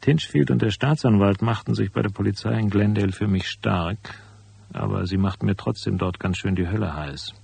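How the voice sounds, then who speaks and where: A hardboiled private detective for a German radio play, in a deep voice with a husky, creaky edge. He is laconic and stubborn, laying things out in a dry, matter-of-fact way. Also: fairly quick, a little mellow, full character voice. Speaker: voice actor and first-person narrator. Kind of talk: German radio drama, dialogue and narration.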